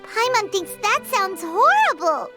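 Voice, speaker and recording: A tiny, squeaky fairy sidekick, pitched extremely high and swinging animatedly. She is naive and excitable, a childlike video game companion with music playing loudly behind her. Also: measured pace, exaggerated voice. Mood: alarmed. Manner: speaks of self in third person.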